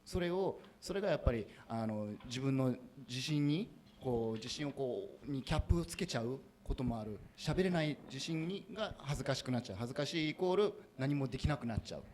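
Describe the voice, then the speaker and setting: A man moderating a bilingual panel at an event, quickly interpreting audience remarks into Japanese with animated energy. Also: medium pitch, slight background noise. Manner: fast, animated, laughing often. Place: event hall.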